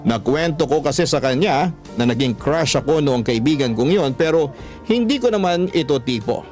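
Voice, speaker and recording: A man's voice: a little high, expressive, normal speed, a little mellow, faint music behind. A man, a radio host reading a listener's letter as scripted first-person story narration.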